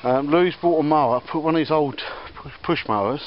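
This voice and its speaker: A man's voice: a little high, a little fast. Rambling man filming outdoors. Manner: rambling, with many ums and restarts. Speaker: vlogger showing auction finds. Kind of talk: casual chat. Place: outdoors in a garden and workshop. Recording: slightly dull camera audio with faint background noise. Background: faint outdoor ambience and church bells.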